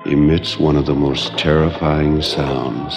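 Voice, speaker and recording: A man's voice: very deep voice, fairly even intonation, slow, creaky, heavily acted. A man performing a grave, ominous narrator of a creature documentary, solemn and dramatic. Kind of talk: slow dramatic film-trailer narration. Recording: long reverb, music behind.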